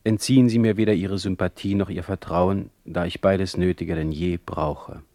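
A man's voice: sits low, steady tone, moderate pace, mellow, no room sound, studio quality. An actor playing a proud, defiant exiled male poet in a radio play, declaiming emphatically in formal diction. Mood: earnest, formal. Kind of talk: radio play acting, dictated letters.

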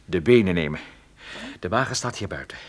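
An actor playing a cool, sardonic private detective on the run in a radio play. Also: male voice, low-pitched, lively intonation, fairly quick. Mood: urgent, wry.

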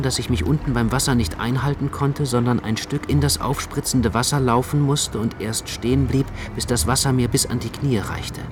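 A man narrating a literary radio play from a script in a measured, precise way. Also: medium pitch. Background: music.

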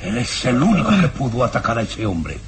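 An actor playing a stern, easily enraged baron in an old radio serial, questioning imperiously. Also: male voice, medium pitch, sing-song, lightly acted. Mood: furious, demanding. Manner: imperious, interrogating, deliberate. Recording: noisy old radio drama recording.

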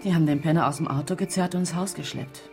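An actress playing a brisk, sardonic newspaper reporter, telling what happened in clipped phrases in a radio drama. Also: female voice, sits low, creaky. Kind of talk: terse dramatic storytelling in a radio crime drama. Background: music.

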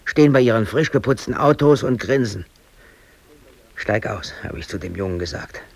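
A man's voice: lowish voice, expressive, moderate pace, gravelly, no room sound. An actor playing a brooding, aggrieved bakery worker in his thirties, telling his story colloquially and intensely in a radio drama. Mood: tense recounting. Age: 30s. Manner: colloquial, emotionally intense, self-justifying. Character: brooding, aggrieved East German bakery worker under arrest. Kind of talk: radio drama monologue, confessional narration.

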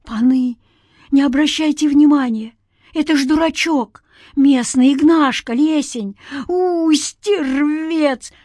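A wheedling village man from a dark folk tale, acted by a woman. The voice is pushed high and strained. He talks loudly and colloquially, fawning and scornful at once, in a dry recording. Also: slow, no room sound. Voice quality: strained, broken voice. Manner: ingratiating, colloquial, loud.

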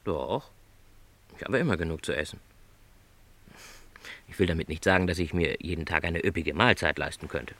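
A man's voice: sits low, moderate pace, pauses often, fairly bright tone. An actor playing a lonely, contented travelling salesman who is no longer young, speaking modestly and politely in a radio play. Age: middle-aged.

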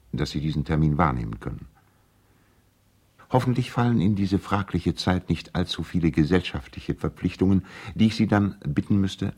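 Very deep-voiced urbane lawyer. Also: male voice. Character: urbane, persuasive male lawyer and family friend. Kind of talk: radio play, letters read aloud in character.

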